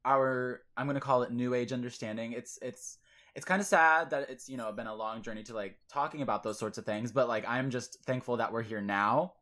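A man's voice: mid-range voice, fairly even intonation, a little fast, a bit bright. A man hosting a podcast, talking earnestly and casually with many fillers and restarts. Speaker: podcast host. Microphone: podcast mic.

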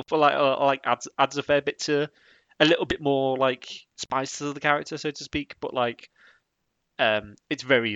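A man chatting casually as a podcast co-host, talking in a halting, rambling way and often trailing off. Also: mid-range voice, bright, forceful tone, no echo, muffled recording. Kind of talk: casual chat about anime voice acting.

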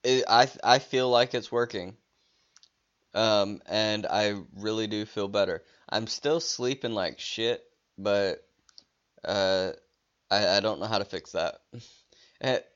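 A man's voice: fairly low pitch, fairly bright tone, clean tone, dull audio. A man hosting a casual solo podcast, rambling with many restarts and fillers while he is losing his voice. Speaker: podcast host.

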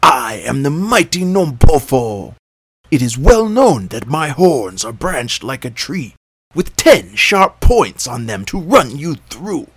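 A man voicing a little girl who fakes a huge fierce monster, shouting loudly from a distance. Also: breathy edge, no room sound, full character voice. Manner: loud, booming, shouted from afar.

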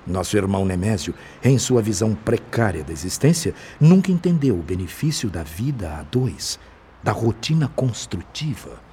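A man playing a wise, calm spirit physician in a radio drama, explaining things in a measured, formal, fraternal way. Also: lowish voice, a little hiss or hum. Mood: compassionate, solemn.

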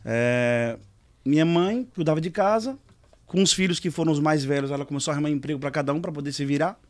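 Quick-talking man from São Paulo. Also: on the high side. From São Paulo, Brazil. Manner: laughs easily, rambling anecdotes. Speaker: musician, podcast guest. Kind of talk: casual bar-table chat.

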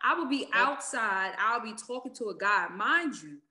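A woman's voice: fairly high pitch, normal speed. A woman hosting a casual group chat, telling stories with animation. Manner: animated, storytelling.

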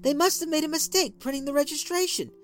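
A shaken young wife pleads in a high, strained voice that swings up and down, as a male narrator puts her on. She sounds frightened and defensive, with soft music faint in the background. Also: big pitch swings, normal speed.

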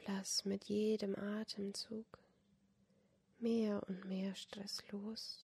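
A woman softly guiding a yoga nidra meditation in a breathy, whispery voice, calm and unhurried, with long pauses. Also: medium pitch. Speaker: yoga nidra guide, podcast host. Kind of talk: guided meditation, soft instruction.

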